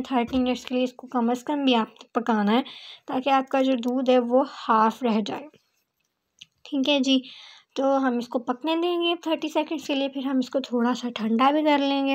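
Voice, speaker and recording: A woman with a high voice talks through a recipe as a cooking host. Her delivery is casual and instructional, with English words mixed in. The sound is somewhat dull and lo-fi. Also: darkish tone. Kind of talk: recipe voice-over tutorial, casual.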